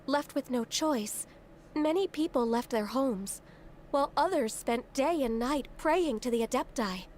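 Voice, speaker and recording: A woman voicing a gentle, earnest, dutiful young woman in a video game, speaking politely and with care. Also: sits high, a little hiss or hum. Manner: polite, measured, careful.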